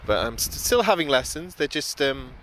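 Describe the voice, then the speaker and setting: A man's voice: mid-range voice, very animated, moderate pace, fairly bright tone. A man from the UK with a British accent, giving casual, chatty live commentary as the host of a plane-spotting stream outdoors. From United Kingdom. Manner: informal, chatty. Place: outdoors by an airport. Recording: outdoor noise, aircraft and vehicle ambience. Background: outdoor urban and vehicle noise.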